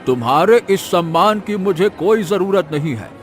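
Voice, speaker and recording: A man plays a proud, wealthy old villager in a cartoon tale, blunt and scornful. The delivery swings widely in pitch and sits fairly high, with light music behind. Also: animated, normal speed. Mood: irritated, scornful. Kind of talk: animated moral story acting.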